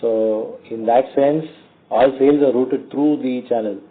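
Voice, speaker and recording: Male, Indian accent, company executive on a call. Measured, halting delivery, thin telephone sound. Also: medium pitch, fairly even intonation. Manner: halting, measured, hesitant phrasing with frequent pauses. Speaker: company executive answering analyst questions. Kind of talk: earnings call question and answer. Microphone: conference call phone line. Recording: tinny narrowband phone line.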